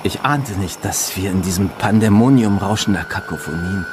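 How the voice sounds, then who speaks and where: A man's voice: deep voice, moderate pace, noisy background, close to an everyday voice. A man playing an observant, wry investigator, narrating a crime radio play in the first person with terse phrasing. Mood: observant, descriptive. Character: observant, wry male investigator telling his own story.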